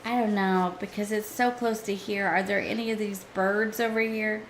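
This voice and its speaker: A woman streaming a survival game, chatting casually and thinking aloud to her viewers, her voice a little hoarse. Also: medium pitch, steady tone, normal speed, a little hiss or hum, rough recording. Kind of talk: casual gameplay commentary.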